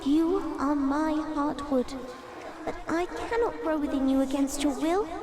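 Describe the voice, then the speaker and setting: A woman's voice: sits high, a little slow. A woman playing a dying magical tree seed spirit in a fantasy audio drama, speaking in a formal, grave, earnest way. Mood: pleading.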